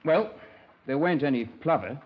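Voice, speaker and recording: Earnest young English scoutmaster, very animated. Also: male voice, a little high, moderate pace. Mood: earnest, rambling. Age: young adult. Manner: polite, hesitant, wordy, punctuated with sir. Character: naive, earnest, rambling young English scoutmaster, easily affronted. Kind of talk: 1950s radio crime drama acting. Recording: dull, band-limited 1950s radio recording.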